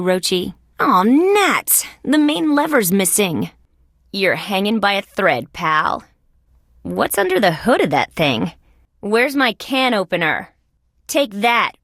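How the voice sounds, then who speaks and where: Young female detective, video game, wisecracking, sardonic, lively swooping intonation, dry close recording. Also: medium pitch, no room sound. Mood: cocky. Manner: sardonic, deadpan quips.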